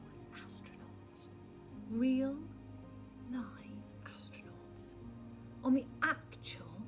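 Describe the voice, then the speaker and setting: A woman with a high voice reads a children's picture book aloud in the manner of a primary school teacher. Her delivery is dramatic and expressive, often softening to a near-whisper. Music plays behind her. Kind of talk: expressive children's storybook reading.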